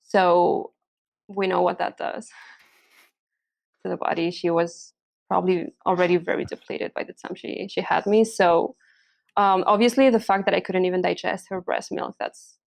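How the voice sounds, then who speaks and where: A woman's voice: fairly low pitch, steady tone, normal speed, vocal fry. A young woman from Spain, a pregnancy coach, chatting in an interview, hesitant with many fillers and restarts. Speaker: hormonal and pregnancy coach, podcast guest. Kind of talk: casual interview chat.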